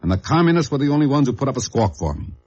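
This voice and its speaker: A man playing a gruff, proud older factory foreman, curt and defensive, in a mid-century radio drama. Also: medium pitch, very animated, very fast, a little mellow. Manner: curt, tough-guy talk. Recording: dull old radio recording.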